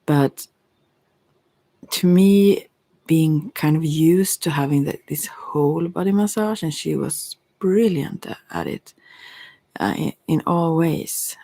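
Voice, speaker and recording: A woman who is a non-native English speaker, chatting in soft-spoken ASMR style, hesitant and meandering, often slipping into whispers close to the mic. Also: deep voice, gravelly, husky, dry recording. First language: not English. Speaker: ASMR creator and tarot reader.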